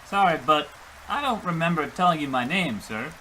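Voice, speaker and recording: A man's voice: a little high, animated, normal speed, sharp tone, slight background noise, poor audio. A man playing a gullible, defensive husband who rambles through his tall story with exasperation in an old-time radio comedy. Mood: flustered.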